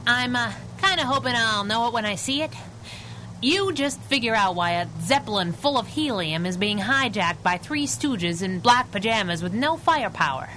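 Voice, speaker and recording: A woman's voice: on the high side, animated, moderate pace, sharp tone, slight background noise, rough recording. A woman playing a plucky superhero sidekick, sassy, slangy and wry, in 1930s radio adventure style. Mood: skeptical, wry.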